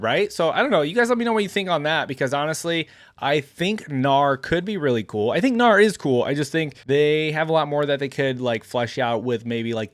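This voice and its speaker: A man's voice: mid-range voice, dry recording. A man hosting a reaction video, giving conversational, rambling commentary and often addressing his viewers directly. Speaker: YouTube reaction host. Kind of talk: casual reaction commentary to camera.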